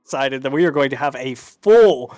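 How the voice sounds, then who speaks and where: A man's voice: mid-range voice, expressive, moderate pace, darkish tone. A man working as an esports caster, giving live commentary on a video game match. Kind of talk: live Rocket League commentary.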